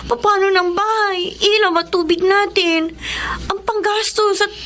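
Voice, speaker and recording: A very high-pitched, girlish little sister in a radio drama. She is an anxious, devoted student with an emotional, plaintive delivery. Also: fairly even intonation, measured pace, fairly bright tone, a little hiss or hum. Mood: tearful alarm. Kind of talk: Tagalog radio drama acting.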